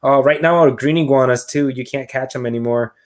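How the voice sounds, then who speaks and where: A man's voice: mid-range voice, brisk. A man from Puerto Rico chatting casually as a podcast guest, with loose, informal, hesitant phrasing. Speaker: podcast guest, reptile keeper. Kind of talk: casual chat.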